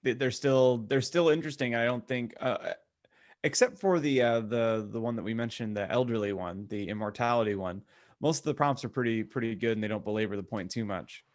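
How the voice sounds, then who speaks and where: Casual mid-pitched man chatting. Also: a little fast. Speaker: podcast co-host. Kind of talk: casual podcast chat.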